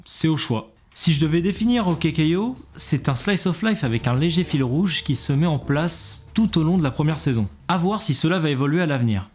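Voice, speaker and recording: A man's voice: fairly high pitch, expressive, fairly quick. A young adult man co-presenting a cartoon review show, giving scripted commentary with casual asides.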